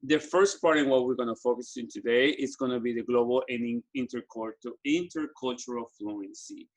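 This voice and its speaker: Earnest Ecuadorian-born man, halting delivery. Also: mid-range voice. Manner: halting, frequent restarts and fillers, earnest. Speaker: business school program staff presenting study abroad. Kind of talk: presentation to students with slides.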